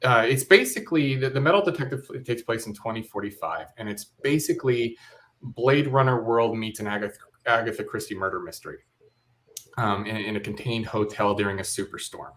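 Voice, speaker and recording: Casual, lowish-voiced man explaining his work. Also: fairly quick, a bit bright. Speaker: director, interview guest. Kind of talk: casual explanatory interview talk.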